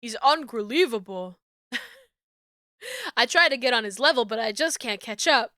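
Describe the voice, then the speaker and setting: A woman's voice: fairly high pitch, lively intonation, normal speed, sharp tone, dry recording, studio quality. A woman streamer reading a game aloud with playful, goofy commentary.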